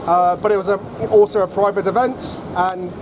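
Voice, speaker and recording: High-voiced man over loud street noise. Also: level tone, brisk. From Hong Kong. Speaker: guest speaker, honorary lecturer. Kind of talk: personal account to a street gathering. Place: outdoors in an urban public space. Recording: very noisy outdoor street with traffic.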